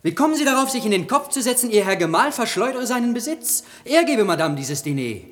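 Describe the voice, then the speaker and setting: An actor playing a haughty, lecturing aristocratic count in a 1960 radio comedy. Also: male voice, very high voice, sing-song, quick pace, fairly bright tone, big-room sound, full character voice. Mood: haughty reproof.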